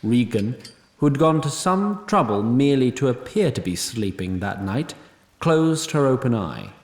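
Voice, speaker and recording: A man narrating a fantasy audio drama from a script in a measured, dryly told storytelling style. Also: mid-range voice, very animated. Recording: echoey, music behind.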